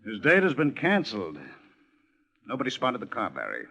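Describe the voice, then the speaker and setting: Punchy, bright-edged American police lieutenant. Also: male voice, medium pitch, wildly swooping pitch, normal speed, vocal fry, breathy edge, exaggerated voice. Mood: matter-of-fact. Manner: clipped, sardonic, matter-of-fact. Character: brisk, dry-witted male police lieutenant. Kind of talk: 1950s radio detective drama acting.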